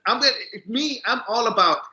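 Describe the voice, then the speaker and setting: High, sharp-voiced man in an echoey room. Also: brisk. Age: 50s. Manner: joking, switches between English and Spanish. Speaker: podcast co-host. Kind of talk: casual bilingual podcast chat. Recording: echoey, muffled lo-fi remote audio.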